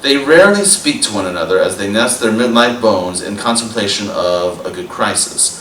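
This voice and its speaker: A young man hosts a talk show with a bright, forceful voice and earnest, announcer-like emphasis. He sits well back from the microphone, so his voice sounds distant and roomy. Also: lowish voice, expressive, moderate pace, a little hiss or hum. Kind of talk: talk show hosting and poem reading.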